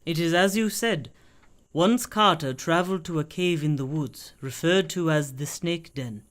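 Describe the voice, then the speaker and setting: An actor playing a composed mystic swami in a radio play, speaking formally and in measured phrases. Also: male voice, on the high side, a bit bright. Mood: solemn.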